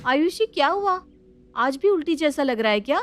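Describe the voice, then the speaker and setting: A woman's voice: sits high, animated, normal speed, fairly bright tone, faint music behind. A woman playing a worried, selfless young housewife in a family story, emotional and insistent.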